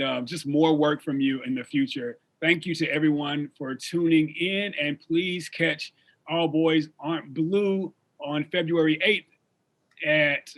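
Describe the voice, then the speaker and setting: A man's voice: on the high side, fairly bright tone. A man hosting a talk show interview in a conversational style.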